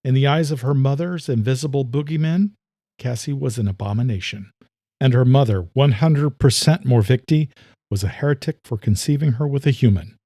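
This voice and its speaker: A man narrating a horror-thriller story in a measured, steady storytelling manner. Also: mid-range voice, no echo, clean studio sound. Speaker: narrator and author. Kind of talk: scripted audiobook narration.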